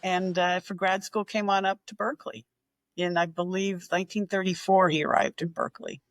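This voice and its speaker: A woman's voice: fairly low pitch, little pitch movement, fairly quick, dry recording. A woman in her sixties, a regular contributor, telling a history story in a chatty, enthusiastic way. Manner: enthusiastic, chatty, with fillers and restarts. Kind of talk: casual storytelling.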